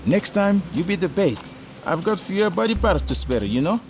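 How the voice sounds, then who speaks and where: A man's voice: high-pitched, big pitch swings, normal speed, music in the background. An actor playing a sly, scrappy older crewman in an audio drama, with a taunting, needling manner. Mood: wary, insistent. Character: older male sailor crewman, scrappy, sly, foul-mouthed.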